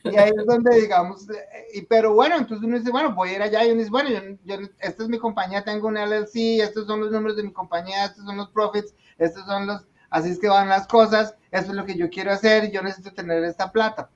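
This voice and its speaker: Man, high-pitched, animated storyteller, dull lo-fi sound. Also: quick pace, hardly any pauses. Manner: animated storyteller, acts out quoted dialogue. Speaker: small business owner, video producer. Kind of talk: casual podcast conversation.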